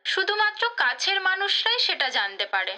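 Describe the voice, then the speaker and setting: An actress playing an insightful, intimate confidante who gently lectures, in an audio drama. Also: female voice, very high-pitched, sing-song, moderate pace, heavily acted. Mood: earnest, knowing. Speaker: actor. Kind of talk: Bengali audio drama acting.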